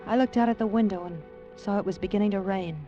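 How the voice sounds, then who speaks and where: A woman's voice: medium pitch, quick pace, muffled recording, lightly acted. An actress playing a bitter, commanding female crime boss in a 1940s radio drama, with curt, sardonic delivery. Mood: brooding. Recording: old radio broadcast with music underneath.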